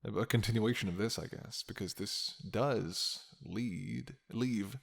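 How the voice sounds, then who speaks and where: A man's voice: lowish voice, slow, vocal fry, husky, dry recording, clean studio sound. A man talking casually to his ASMR listeners in a hesitant, musing, rambling way. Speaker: host of an ASMR roleplay audio channel.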